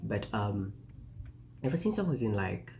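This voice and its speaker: A young man. His voice sits a little low and sounds mellow and warm. He rambles, restarts his sentences and leans on fillers, with faint music under him. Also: big pitch swings, a little slow. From Uganda. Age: 20s. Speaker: vlogger. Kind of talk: casual talking-head vlog.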